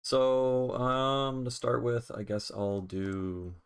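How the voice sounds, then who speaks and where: A man hosting a casual software tutorial on music production, talking in an offhand, informal way and swearing casually. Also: medium pitch, slow, smooth.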